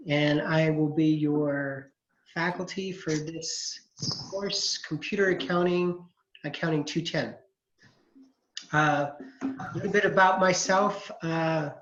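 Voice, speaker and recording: A man in his forties, a college accounting instructor, introducing himself to his class in a relaxed, chatty way over a video call. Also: a little high. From California, USA. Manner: relaxed and chatty, with frequent fillers. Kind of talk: casual online class introduction. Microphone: computer mic on a video call. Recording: echoey, dull video-call audio.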